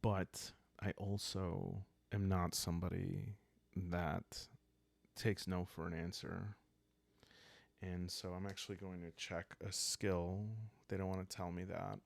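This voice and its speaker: A man calmly narrating a solo roleplaying game as he plays, improvising the story in an unhurried, hushed way with dramatic pauses. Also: sits low, vocal fry, no room sound.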